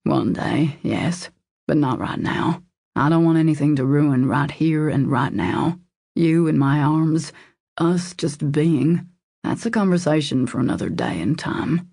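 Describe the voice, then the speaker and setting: A woman voicing a devoted, protective man in an audiobook romance, in a low husky murmur, earnest, intimate and reassuring. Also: lively intonation, a little slow, warm tone, no room sound, studio quality, heavily acted. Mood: soothing.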